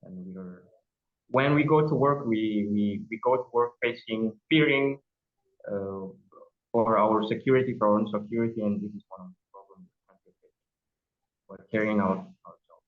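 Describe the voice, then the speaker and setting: A man's voice: on the low side, moderate pace, pauses often. Young Ethiopian man on a tinny line. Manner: hesitant, repeats words while searching for them. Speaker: judge, guest. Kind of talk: remote interview. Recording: tinny phone line with echo.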